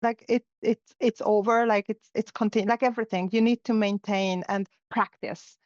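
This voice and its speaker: A middle-aged woman from Hungary, a native Hungarian speaker, reflects in a relaxed interview. Her pitch sits in the middle range, and she often pauses with fillers and restarts her sentences. The sound is dry and a little muffled, like a lo-fi recording. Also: no room sound. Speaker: guest. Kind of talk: casual interview conversation. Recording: dull, lo-fi sound.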